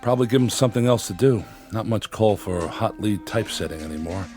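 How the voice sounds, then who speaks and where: An actor playing a bitter, despairing newspaper editor in a radio drama, terse, weary and deadpan. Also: male voice, fairly low pitch, fast, soft background music, natural voice. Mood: bitter, resigned.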